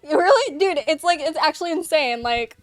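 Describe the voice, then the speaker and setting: Giggly high-voiced woman streamer. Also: expressive, fairly quick. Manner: crude, giggly, teasing, lots of "dude". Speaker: streamer host. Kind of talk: casual livestream chat.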